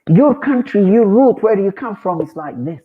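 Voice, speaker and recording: A man's voice: high voice, expressive, normal speed. A man giving an earnest, exhorting community talk as a guest speaker, repeating phrases as he presses his point. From Eritrea. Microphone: video call. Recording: tinny phone-like online call audio.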